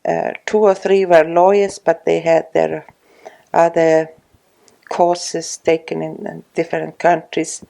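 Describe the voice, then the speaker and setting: A woman in her sixties, from Estonia, speaking English with an Estonian accent in an oral history interview at her home, slow and hesitant with many pauses. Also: deep voice, little pitch movement, gravelly, dark tone. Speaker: retired social worker interviewee.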